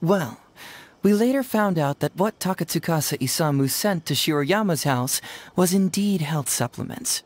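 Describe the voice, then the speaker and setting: A man's voice: a little high, lively intonation, moderate pace. A man voicing a brainy, confident young detective in a video game, explaining and teasing in a self-assured, playful way. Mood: explaining, sly.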